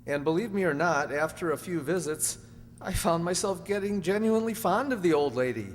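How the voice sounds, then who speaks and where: A man playing an earnest, anxious young husband in an amateur radio drama, halting and emphatic as he pleads. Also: high-pitched, lightly acted. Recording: echoey hall, faint hum.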